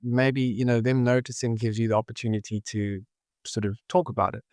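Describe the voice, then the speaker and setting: Male, South African accent, medium pitch, clean resonant tone, podcast guest, reflective, halting conversational delivery. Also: steady tone, darkish tone, no room sound, studio quality. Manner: halting, searching for words.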